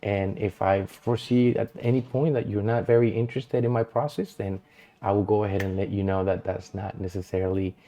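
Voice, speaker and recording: A man's voice: lowish voice, steady tone, fairly quick, mellow. A man, talking to camera from a small home studio in an easygoing, earnest way as a real estate agent introducing himself. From Colombia. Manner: easygoing, earnest, loose filler-heavy delivery. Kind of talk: self-introduction vlog. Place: small dim home studio with colored lights.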